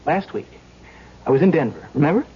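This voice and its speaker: A man's voice: fairly high pitch, big pitch swings, fairly quick, slightly rough, exaggerated voice. An actor playing a frantic, pleading man haunted by nightmares, stammering and repeating himself in an old-time radio drama. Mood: agitated insistence. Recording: dull, hissy old radio recording.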